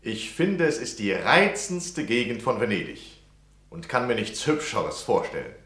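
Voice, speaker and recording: An actor in a radio play playing a polite, eager, flattering gentleman journalist seeking to lodge. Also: mid-range voice, measured pace, roomy sound. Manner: polite, smooth, deferential. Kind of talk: 1960 German radio play acting.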